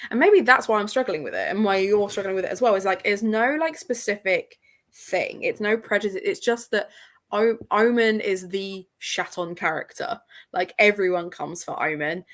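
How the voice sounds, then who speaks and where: A woman in a casual discussion of books, talking in an informal, slangy way. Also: mid-range voice. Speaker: participant in a live book readalong discussion. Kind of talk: casual chat about books.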